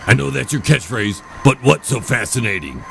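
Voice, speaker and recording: A man's voice: mid-range voice, sing-song, normal speed, vocal fry, background noise. A man playing a cranky, exasperated starship doctor who complains constantly in a sci-fi comedy audio drama. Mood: grumbling. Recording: music bed behind.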